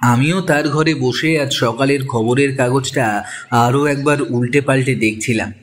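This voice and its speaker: A man's voice: medium pitch, steady tone, unhurried, a little hiss or hum, lightly acted. A man playing a detective's curious companion, telling the story in the first person in an audio drama. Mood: measured narration. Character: detective's male companion narrating, curious and sceptical.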